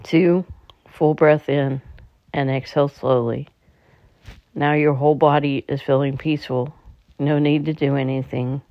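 A meditation guide speaking in a calm, slightly halting way, leading a slow guided relaxation. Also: mid-range voice, dry recording. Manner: calm, halting delivery with stumbles. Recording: dull, muffled lo-fi recording.

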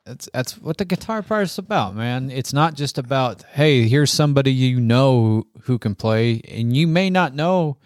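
An American man with a medium-pitched voice co-hosts a casual music podcast. He speaks in slangy, enthusiastic fan talk, recorded dry with hardly any room sound. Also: lively intonation, moderate pace, few pauses, no echo. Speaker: podcast co-host.

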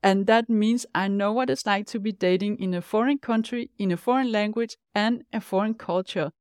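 A woman's voice: mid-range voice, normal speed, darkish tone, smooth, no room sound. Danish-accented woman, dry close podcast sound. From Denmark. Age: 50s. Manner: earnest, encouraging, direct address to listener. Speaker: podcast host, life coach. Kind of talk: scripted podcast monologue.

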